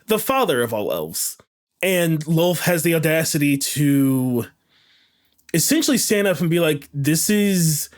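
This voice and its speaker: A man chatting casually as a podcast panelist, retelling game lore in a slangy, irreverent way and acting out dialogue. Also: mid-range voice.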